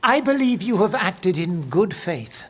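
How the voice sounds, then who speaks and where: Flustered, husky archbishop. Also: male voice, high voice, big pitch swings, a little slow, fairly bright tone, heavily acted. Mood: deferential, apologetic. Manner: hesitant, stammering, fussy. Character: flustered, reluctant archbishop at a medieval royal court. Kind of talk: dramatic audio drama acting.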